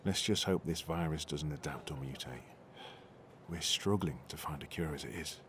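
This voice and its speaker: A man's voice: a bass voice, normal speed, a bit bright, slight background noise. A man playing a grumbling, gloomy hospital colleague in an audio drama. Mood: gloomy, conversational.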